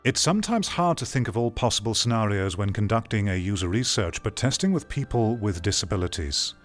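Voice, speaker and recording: Low-pitched man giving blunt commentary. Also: animated, a little fast, soft background music. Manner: blunt, dismissive, argumentative. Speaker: video commentator. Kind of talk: opinionated commentary, reading an article aloud and reacting.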